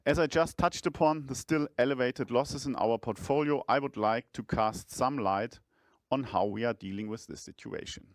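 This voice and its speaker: Male CFO, mid-range voice, formal measured scripted delivery for an earnings call, dry recording. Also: medium pitch, no room sound. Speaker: chief financial officer presenting results.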